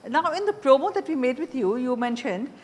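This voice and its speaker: Higher-voiced woman hosting an interview. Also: lively intonation, brisk, a little hiss or hum. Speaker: interview show host. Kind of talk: conversational interview.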